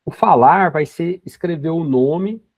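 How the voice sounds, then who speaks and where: A man in his forties walks through a coding lesson step by step, checking in with quick tag questions as he goes. His voice is gravelly and a little husky, set slightly high for a man. The recording is dry and dull, like a cheap desk mic. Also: no room sound. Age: 40s. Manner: explains step by step, with frequent tag questions and restarts. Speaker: programming teacher.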